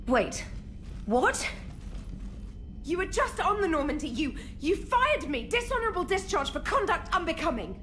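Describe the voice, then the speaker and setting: A woman's voice: very high voice, big pitch swings, moderate pace, sharp tone, faint music behind, full character voice. A woman playing a flustered, articulate starship technician in a video game, fussy and precise in manner. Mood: indignant, bewildered. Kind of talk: cinematic video game acting.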